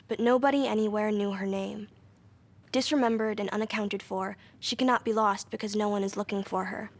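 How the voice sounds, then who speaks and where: A haunting storyteller reading literary prose. The voice is mid-pitched with a noticeable creak, grave and measured. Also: female voice. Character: solemn, haunting storyteller of a forgotten girl.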